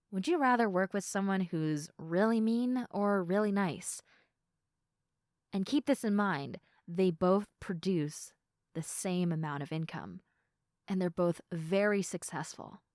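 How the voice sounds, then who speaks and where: A woman playing a sleepy, affectionate girlfriend in an intimate ASMR roleplay, chatting softly. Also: lowish voice, dry recording, clean studio sound. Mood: chatty, earnest.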